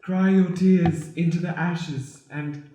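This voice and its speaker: Casting: male improviser as a sentimental spirit father, slow and soft-toned, solemn, in a cavernous-sounding live radio play. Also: high-pitched, fairly even intonation, slight background noise. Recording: very echoey room, music behind.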